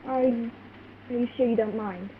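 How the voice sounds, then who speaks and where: A woman playing a frightened, secretive spy in an old-time radio play, halting and dramatic. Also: a little high, lightly acted. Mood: uneasy. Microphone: video call. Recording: muffled, noisy video call audio.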